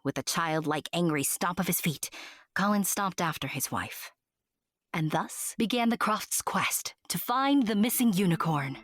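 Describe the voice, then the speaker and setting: A woman playing a whimsical, knowing fairy-tale narrator, husky and breathy, confiding theatrically in the listener. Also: lowish voice, animated, unhurried, no room sound. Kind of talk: whimsical scripted storytelling.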